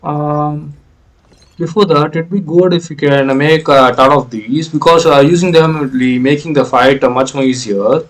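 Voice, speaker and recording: A man giving explanatory video game playthrough commentary in a halting, hesitant way. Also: on the high side, steady tone. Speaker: gaming video creator.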